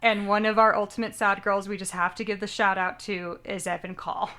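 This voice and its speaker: A woman's voice: medium pitch, flat delivery, brisk, pushed and bright, clear, resonant tone. A young woman co-hosting a casual anime podcast, chatty and rambling, often second-guessing how she pronounces names. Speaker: podcast co-host.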